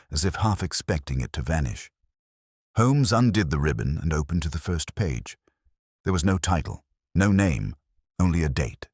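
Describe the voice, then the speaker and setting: A man narrating a scripted detective story as an audiobook, with measured, literary delivery. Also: very deep voice, a little mellow, breathy edge, no room sound, clean studio sound.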